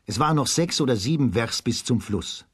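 A man narrating a children's radio play as a scripted storyteller, in a husky voice. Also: mid-range voice, lively intonation, normal speed, no echo, studio quality.

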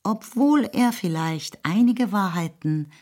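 A woman narrating a literary radio drama in a measured, composed way. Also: mid-range voice, lively intonation, a little mellow.

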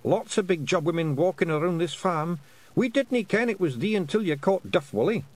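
A man voicing a small, bold fairy man in a high, gruff brogue. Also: animated, brisk. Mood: earnest.

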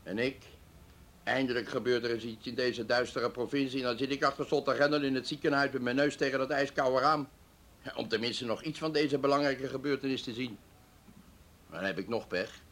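A man's voice: medium pitch, fairly even intonation, a little fast, a bit bright, close to an everyday voice. An actor playing a talkative, eager male hospital patient in a radio drama, chatty and inquisitive. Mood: grumbling, envious.

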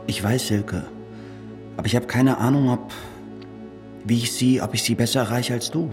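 A man's voice: medium pitch, fairly even intonation, moderate pace, darkish tone, soft background music, lightly acted. An actor playing a worried, earnest male writer in a German radio play, with a hesitant, searching and pleading delivery. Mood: troubled, unsure.

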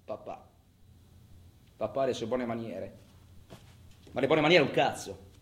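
An actor playing an agitated, foul-mouthed robber in an intense, colloquial theatrical monologue that swings from hushed to shouting. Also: male voice, medium pitch, lively intonation, unhurried, natural voice. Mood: bitter confession. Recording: roomy echo, faint background noise.

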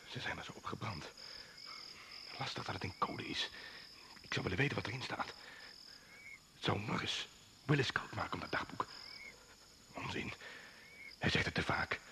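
An actor playing a lost, nervous man talking anxiously to himself in short bursts in a radio play. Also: low voice. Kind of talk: Dutch radio play acting. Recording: noisy old radio play recording.

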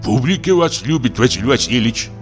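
A man's voice: mid-range voice, lively intonation, a little fast, pitched above the performer's own voice, lightly acted. A man voicing a timid, respectful theatre prompter who speaks tenderly and deferentially. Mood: consoling. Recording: music under the voice. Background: music.

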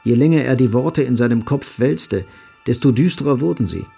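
A man's voice: mid-range voice, normal speed, gravelly, warm tone, husky, soft background music. A man narrating literary fiction as part of an audio drama.

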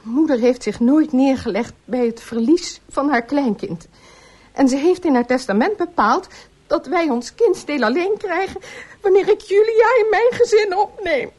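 A woman's voice: high voice, moderate pace, darkish tone, lightly acted. An actress playing a troubled married woman guarding a painful past, speaking with emotion in a radio play. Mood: anguished. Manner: emotional, pleading. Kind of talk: radio drama acting.

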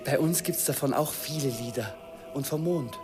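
An actor in a radio play playing a nineteen-year-old German student who explains words slowly and earnestly, like a little schoolmaster. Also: male voice, medium pitch, over music, close to an everyday voice. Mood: explaining. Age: young adult.

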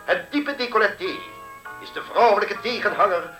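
An actor playing a vain, self-important fashion designer in a 1970s radio play, pompous and easily indignant. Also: male voice, high-pitched, normal speed, bright, forceful tone, faint music behind, poor audio. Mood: grandly presenting.

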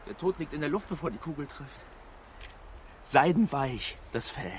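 An actor playing a haunted, dreamy hunter's son in a poetic radio monologue, absorbed and halting. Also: male voice, fairly high pitch, animated, a little hiss or hum. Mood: rapt, dreamy. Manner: absorbed, halting, broken-off sentences.

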